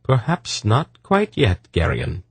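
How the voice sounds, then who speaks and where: A man's voice: sits low, a little slow, slightly rough, no room sound, voice pushed up. A man voicing a stern sorceress in a fantasy audiobook, cold, imperious and sure of her power. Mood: cool, dry.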